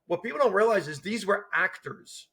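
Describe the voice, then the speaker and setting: A man's voice: high-pitched, animated, moderate pace, bright, forceful tone. A man in his fifties from New Jersey, raised speaking Italian and Sicilian dialect first, telling stories as a comedian guest in casual chat with wry, punchy asides. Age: 50s. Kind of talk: casual conversational storytelling.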